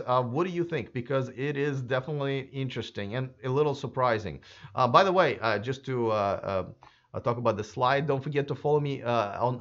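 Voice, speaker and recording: A man with a hoarse voice talks casually to his viewers, thinking aloud and often restarting his sentences. His pitch sits in an ordinary middle range. The recording sounds slightly dull and lo-fi. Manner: chatty, speculative, many fillers and restarts. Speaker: YouTube electric car channel host. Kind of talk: casual solo commentary to camera.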